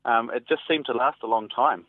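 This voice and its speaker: A man's voice: mid-range voice, dry recording. A man, a reporter giving a hesitant, spontaneous eyewitness account in an interview over the telephone. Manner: hesitant, halting. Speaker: newspaper reporter interviewed by phone. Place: on a telephone call. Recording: tinny narrow phone line.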